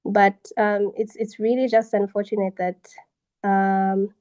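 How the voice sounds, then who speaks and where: A young woman moderating a conversational panel, speaking in a measured way with hesitant pauses. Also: mid-range voice, flat delivery, dark tone. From Zambia.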